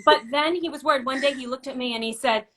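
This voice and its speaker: A woman broadcaster hosting a casual interview, chatting and telling stories in an animated way. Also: high voice, fast talker.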